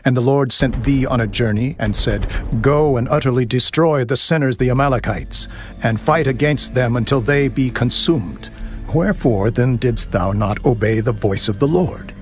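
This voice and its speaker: A man's voice: mid-range voice, soft background music, lightly acted. An actor playing a stern male prophet who rebukes a king in a dramatized scripture reading. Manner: stern, reproving, measured.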